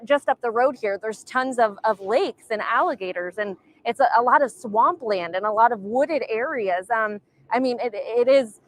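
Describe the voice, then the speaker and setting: A woman giving a live field news report, hesitant and often restarting her phrases. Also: fairly high pitch. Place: outdoors in a remote area.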